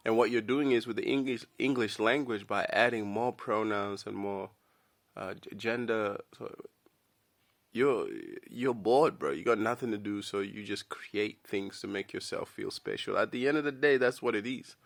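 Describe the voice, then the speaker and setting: Gravelly low-voiced man ranting bluntly. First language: Dinka. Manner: blunt, slangy, keeps calling the listener "bro"; halting, repeats words while thinking. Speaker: vlogger. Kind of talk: casual opinion rant to camera.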